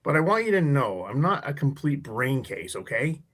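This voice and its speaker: Earnest, excitable teen geek played by a man, mid-pitched, stammers, formal nerdy phrasing, radio play. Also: moderate pace. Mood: starstruck, earnest. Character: earnest, excitable nerdy teenage boy superfan. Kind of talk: live radio play read.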